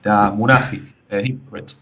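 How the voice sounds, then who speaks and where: A man with a Spanish accent from the Canary Islands, a guest in a casual interview, speaking haltingly and filling pauses with "like, you know". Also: on the low side, steady tone, a bit bright. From Canary Islands, Spain.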